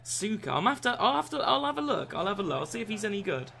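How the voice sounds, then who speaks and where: A young man with a croaky, bright, forceful voice, talking over his gameplay and answering chat. His pitch sits a touch high, and a faint hum of room ambience lies under him. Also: sing-song, fast. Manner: swears often, shouts and laughs at the game. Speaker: gaming streamer. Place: small room indoors.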